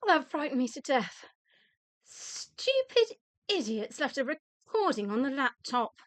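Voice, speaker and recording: A woman's voice: high-pitched, sing-song, a little slow, a bit bright, dry recording, heavily acted. An actress playing a down-to-earth, sceptical widow in a radio play, dryly deflating her scientist husband in a matter-of-fact manner. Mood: startled, bewildered. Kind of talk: radio play dialogue acting.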